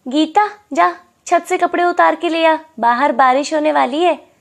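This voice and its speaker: A woman voicing a bossy, harsh aunt with a scolding, commanding manner in an animated story. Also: sits high, measured pace, gravelly, pitched above the performer's own voice.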